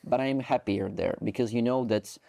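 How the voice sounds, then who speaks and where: Young Ukrainian man, rambling, mellow voice. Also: mid-range voice, normal speed, vocal fry, no echo, studio quality. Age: 20s. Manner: rambling, heavy with "like" and restarts. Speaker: soldier guest. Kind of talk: interview conversation.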